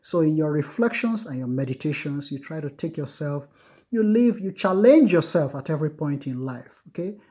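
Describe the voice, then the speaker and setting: A man giving an informal lecture, earnest and repetitive, with many filler pauses. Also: a little high, lively intonation, mellow. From United States. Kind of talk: informal recorded lecture on Buddhism.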